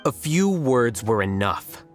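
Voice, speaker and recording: Game role: coolly analytical young male scholar, mid-range voice, measured and articulate, music behind. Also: medium pitch, sing-song. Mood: coolly explaining. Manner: measured, matter-of-fact, articulate. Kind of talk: scripted game voice acting. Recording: background music under the voice.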